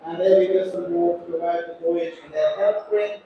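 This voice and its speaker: A man's voice: high voice, steady tone, normal speed, muffled recording. A man, a cruise ship captain, giving a chatty, joking announcement to passengers. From Ireland. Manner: chatty, joking, self-deprecating. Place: large echoey atrium on a cruise ship. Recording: echoey hall from far off, crowd noise.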